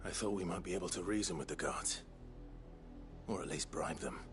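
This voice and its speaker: Very deep, measured man acted, game hero. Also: over music, close to an everyday voice. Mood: guarded. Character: low-voiced, wary man on a covert journey. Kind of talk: video game cutscene acting.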